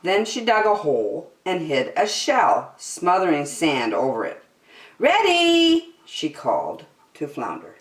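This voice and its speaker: Animated, slow-reading woman storyteller. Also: low-pitched. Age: middle-aged. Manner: animated, deliberate. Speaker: children's story time host. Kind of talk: expressive picture-book read-aloud. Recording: slightly echoey room.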